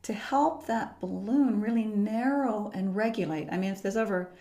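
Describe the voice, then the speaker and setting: A middle-aged woman voice teacher explaining her method as a podcast guest, in a calm, measured, unhurried way. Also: mid-range voice.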